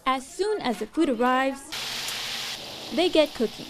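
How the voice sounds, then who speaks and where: A woman's voice: high voice, expressive, unhurried, noisy background. A woman television reporter reading scripted news narration.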